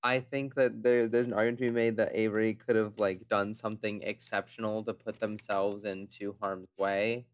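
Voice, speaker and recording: Man with lowish voice, reasoning casually. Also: little pitch movement, moderate pace, few pauses. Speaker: tabletop role-playing player. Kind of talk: casual, reasoned game discussion.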